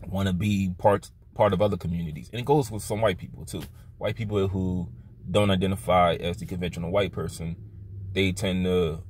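A young man talking to a phone camera from inside a parked car, thinking aloud in a halting way, with restarts and fillers, his pitch jumping up on stressed words. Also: slightly rough. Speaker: vlogger. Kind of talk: casual opinion monologue to camera. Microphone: phone camera held at arm's length.

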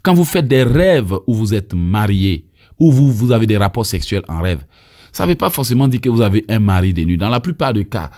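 A man's voice: on the low side, sing-song, a little fast, dark tone. A man in his thirties from Côte d'Ivoire, with an Ivorian French accent and Abé as his first language, preaching emphatically with frequent stammered restarts, filmed outdoors on a phone held at arm's length. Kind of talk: deliverance prayer teaching.

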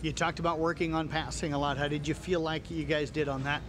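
A man working as a radio sports reporter, asking quick, friendly post-game interview questions in a school gym. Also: on the high side, level tone. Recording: crowd noise in a gym.

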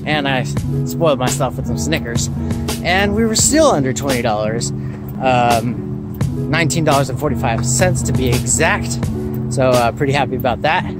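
A young man talking casually to the camera in a relaxed, chatty way with lots of fillers, first in a store and then from his car. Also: mid-range voice, moderate pace, loud music behind the voice. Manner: relaxed, chatty, self-mocking, many fillers. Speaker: fishing vlogger. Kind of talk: casual vlog talk to camera. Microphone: camera mounted on dashboard.

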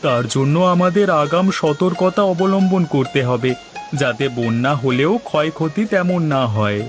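A man's voice: a little high, moderate pace, natural voice. A man playing a kindly, devout village market trader in a cartoon, talking in an earnest, folksy, advisory way. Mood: concerned. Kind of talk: cartoon voice acting. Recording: music behind the voice.

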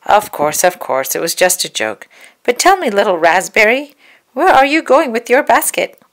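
A woman voicing a scheming male wolf with a deep, sneering, mock-polite manner. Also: very animated, normal speed.

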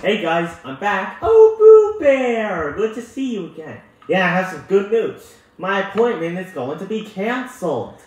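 A performer voicing an excitable toy puppet in a homemade skit, exclaiming and stretching out words. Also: mid-range voice, measured pace, soft background music. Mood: excited. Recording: echoey home room.

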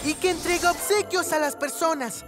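A woman's voice: very high-pitched, moderate pace, loud music behind the voice. A woman voicing a kind, responsible child superhero in a cartoon dub, earnest and instructive. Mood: kindly, earnest.

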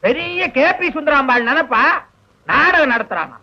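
A man's voice: very high-pitched, big pitch swings, normal speed, full character voice. An actor playing a short-tempered, middle-aged father who mocks and scolds his grown son. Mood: scolding. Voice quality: sharp, mocking scolding. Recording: muffled old film soundtrack.

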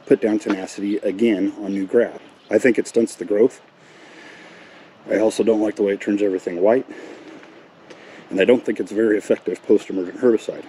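A man's voice: lowish voice, mellow. A middle-aged American man talking casually in a plain-spoken, matter-of-fact way, like a vlog host explaining a job. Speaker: host of a lawn care vlog. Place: outdoors in a residential yard, later an open garage. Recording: clear outdoor ambient noise.

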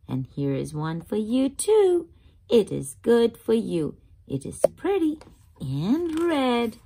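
High, slow, lilting woman reading to children. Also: slightly rough. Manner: expressive, simple teacherly delivery for young children. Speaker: host of children's read-aloud channel. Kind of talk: children's picture-book read-aloud with simple questions.

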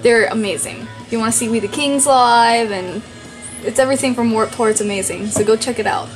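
A woman's voice: on the high side, brisk, soft background music, rough recording. Chatty young woman vlogging at home. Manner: chatty, rambling with many fillers. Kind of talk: casual chat to camera. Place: dim bedroom at home. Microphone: handheld camera at arm's length.

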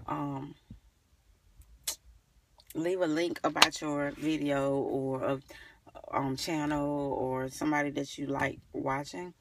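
A young woman with a creaky, fry-laden voice chats informally to her phone in a car. She rambles and thinks aloud in a casual vlog style, and the phone picks her up from a little distance. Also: low-pitched. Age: young adult. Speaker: beauty vlogger. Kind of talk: casual chat to camera. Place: inside a parked car. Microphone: phone at arm's length. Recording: slightly distant phone recording.

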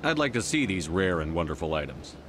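A hard military commander in a sci-fi game. His voice is very deep, his delivery terse and stern, with faint ambience behind it. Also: big pitch swings, brisk, background noise. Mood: businesslike. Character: blunt, hard male military commander and agent. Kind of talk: video game dialogue.

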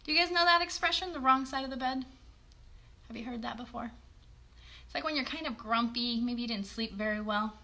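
A woman in her thirties with a high, bright voice hosts a show for small children. She is animated and encouraging, moving between singalong and simple chat, recorded on a phone in a bedroom. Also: a little fast, slight background noise. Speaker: children's livestream host and puppeteer. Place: bedroom, indoors. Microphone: phone at about arm's length or a little more.

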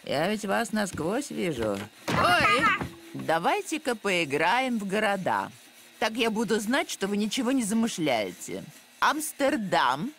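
A woman's voice: mid-range voice, huge pitch swings, measured pace, noisy background. A woman voicing a strict, suspicious middle-aged nanny in a cartoon, with a bossy, theatrical, schoolmarmish manner. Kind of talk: animated series voice acting. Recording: music behind the voice.

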